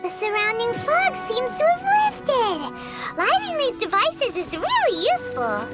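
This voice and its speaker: Very high, squeaky little game companion. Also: female voice, wildly swooping pitch, a little slow, heavily acted. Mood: observant, cheerful. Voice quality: squeaky, childlike. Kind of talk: animated video game voice acting. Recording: loud game music under the voice.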